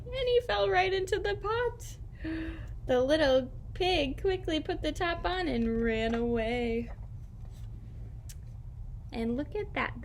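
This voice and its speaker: A woman teacher reading aloud and talking directly to young children in simple, expressive language. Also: high voice, creaky, slight background noise. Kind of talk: children's read-aloud and lesson.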